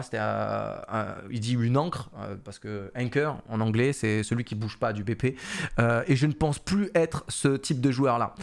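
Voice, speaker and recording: A man, casually commentating on esports and paraphrasing an interview in an animated, colloquial, repetitive way into a close studio mic. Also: mid-range voice. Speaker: esports commentator and content creator. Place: small home studio room indoors. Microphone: close boom-arm studio microphone.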